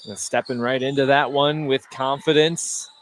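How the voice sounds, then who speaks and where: Male color analyst for live basketball, average pitch, conversational and a bit halting, thinking out loud. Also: fairly even intonation, slight background noise. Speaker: color commentator.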